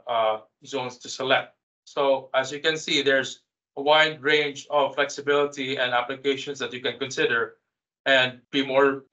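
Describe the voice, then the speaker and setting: A man presents a technical product webinar in a bright, forward voice at a medium pitch. His delivery is halting, with hesitations and repeated words as he explains. The audio is a little dull and lo-fi.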